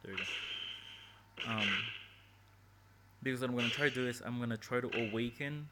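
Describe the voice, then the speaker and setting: A man's voice: medium pitch, fairly quick, very noisy. A man casually talking through his game strategy as he plays, thinking aloud with hesitant restarts, recorded on his phone's microphone. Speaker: gameplay commentator. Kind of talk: casual game walkthrough commentary. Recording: phone mic with loud game music behind.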